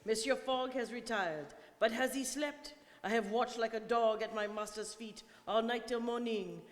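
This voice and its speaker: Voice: medium pitch, fairly even intonation, moderate pace, natural voice. In a very reverberant hall, a devoted valet played by an actor speaks. The manner is formal and deferential, with an anxious, mournful devotion to the master, in old radio-play style. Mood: mournful, worried. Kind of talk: stage radio drama acting.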